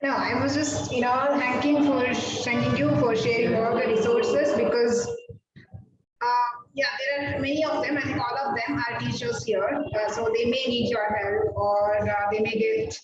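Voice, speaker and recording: A woman hosting an online event, giving informal remarks hesitantly as she searches for words. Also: fairly high pitch. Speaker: host and organiser of teacher events. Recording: very echoey, distant, dull, noisy call.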